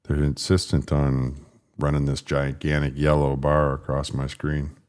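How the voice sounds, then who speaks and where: A man with a deep, rumbling voice hosting a casual livestream, rambling and frustrated as he fixes his stream settings. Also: monotone, unhurried, darkish tone, smooth. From Canada. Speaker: political livestream host.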